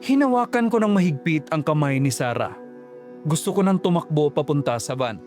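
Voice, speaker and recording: A young man telling a horror story in first person, his voice pitched high and tight with suspense. the telling is scripted and builds dread line by line, with faint music underneath. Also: lively intonation, moderate pace, darkish tone. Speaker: narrator.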